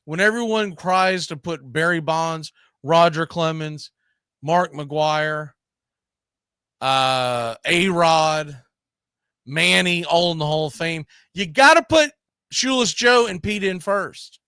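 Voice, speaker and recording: Bright, high-pitched man arguing sports. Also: slow pace, clean tone, dry recording, clean studio sound. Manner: argumentative, emphatic, rhetorical questions. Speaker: sports talk show host. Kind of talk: casual opinionated sports discussion.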